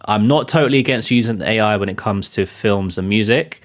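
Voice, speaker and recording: A man with a fairly low voice hosts a live film chat, thinking out loud as he goes. He keeps circling back with fillers and restarts, and his delivery stays loose and conversational. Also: brisk. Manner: rambling, many fillers and restarts. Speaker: show host. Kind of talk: live casual commentary.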